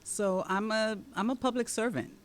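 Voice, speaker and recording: A woman's voice: a little high, moderate pace, slight background noise. A woman from the Dominican Republic with a Dominican accent, a guest answering interview questions in an explanatory way, often checking in with "right?". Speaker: guest, township committeewoman and teacher.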